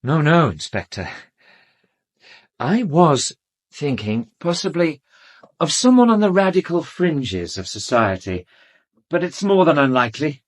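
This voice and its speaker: An actor with an upper-class English accent playing an urbane, haughty private doctor who speaks in a measured, formal, disdainful way in a radio drama. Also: male voice, on the high side, very animated.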